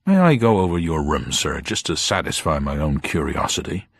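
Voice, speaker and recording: A man plays a plainclothes police superintendent in an audio mystery. He is polite and deferential yet doggedly watchful, in a low, husky voice recorded dry and close. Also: big pitch swings, normal speed, no room sound, heavily acted. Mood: polite, suspicious. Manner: deferential but probing.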